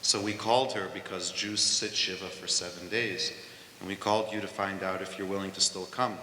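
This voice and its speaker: A man's voice: sits low, level tone, a little fast, bright, forceful tone, slight background noise. A man hosting a live on-stage interview, emphatic as he thanks his guest, recorded from far off in an echoey hall. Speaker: host of the event. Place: event hall with audience.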